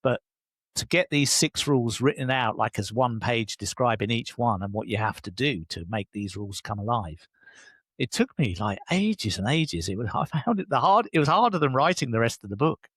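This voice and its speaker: A man's voice: normal speed, breathy edge, no room sound. A man, an enthusiastic interview guest who speaks casually and keeps affirming with "yeah". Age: 60s. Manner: enthusiastic, animated pitch jumps, frequent affirming "yeah". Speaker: guest, leadership coach.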